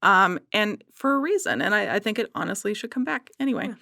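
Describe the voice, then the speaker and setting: Creaky-voiced woman in a dry recording. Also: mid-range voice, very animated, fast, sharp tone, dry recording. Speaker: podcast guest. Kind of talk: casual enthusiastic discussion.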